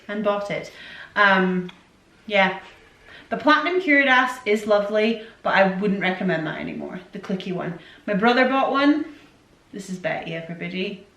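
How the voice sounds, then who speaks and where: A woman's voice: mid-range voice, fairly bright tone. A woman in her forties, raised in Scotland, answering viewer questions at home in a rambling, chatty way. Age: 40s. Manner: rambling, chatty, self-interrupting. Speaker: vlogger. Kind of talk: casual Q&A chat. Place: home room. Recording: echoey room from far off.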